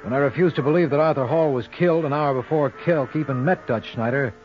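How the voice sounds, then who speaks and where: An actor playing a dogged, wisecracking lawyer-sleuth with a dry, insistent manner in 1940s radio style. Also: male voice, fairly high pitch, fast talker, faint music behind, natural voice. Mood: wry narration. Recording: dull lo-fi old radio recording.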